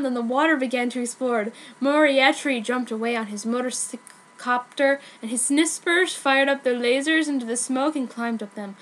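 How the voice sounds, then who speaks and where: A woman's voice: on the high side, a bit bright, poor audio. A woman giving a comic dramatic reading of fan fiction in a mock-dramatic, exaggerated manner. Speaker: amateur fan fiction reader.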